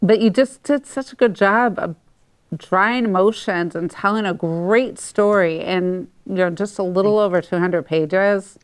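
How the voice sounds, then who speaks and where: A woman's voice: mid-range voice, unhurried, smooth. A woman hosting an interview in a casual, chatty, informal way. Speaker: interview host.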